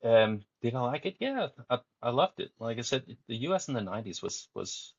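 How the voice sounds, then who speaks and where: A man in his forties from Denmark, a podcast guest telling his life story in a relaxed, meandering way. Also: medium pitch, brisk, slightly rough, no room sound, dull audio. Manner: frequent fillers and restarts, easygoing.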